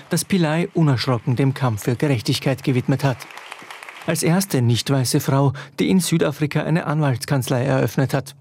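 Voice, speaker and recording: A man delivers a radio news report in a composed, measured manner. His voice sits mid-range. The tone stays steady throughout. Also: medium pitch.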